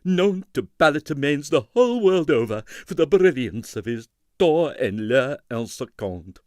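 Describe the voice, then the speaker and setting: A man's voice: a little high, very animated, a little slow, darkish tone, no room sound, clean studio sound. A man reading as a melodramatic woman newspaper columnist, florid and theatrical, in a dramatic audiobook style. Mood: tragic, overwrought. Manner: florid, theatrical, breathless with doom.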